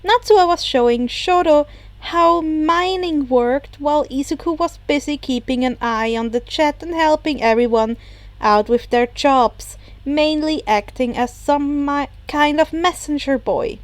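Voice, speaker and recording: A woman reading fan fiction aloud as an expressive scripted narration. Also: high voice, a little slow, no room sound. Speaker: podfic narrator.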